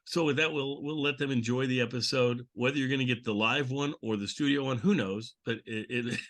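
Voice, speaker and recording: A man's voice: medium pitch, fairly even intonation, a little fast, a bit bright. A man hosting a podcast, chatting casually and explaining things in an informal way. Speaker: podcast host. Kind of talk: casual chat introducing a show episode.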